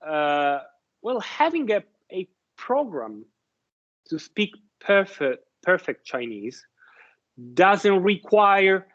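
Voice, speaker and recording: A slow, careful man lectures with a voice a little higher than most, stopping often to restart his sentences and asking whether the class follows. The recording sounds dull and lo-fi. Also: fairly bright tone. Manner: halting, many restarts and fillers, checks understanding with "right?". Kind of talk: university lecture.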